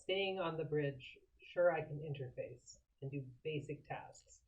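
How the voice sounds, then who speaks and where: A woman's voice: sits low, a little slow, darkish tone, dull audio. A woman playing a tabletop role-playing game, talking in character as an android.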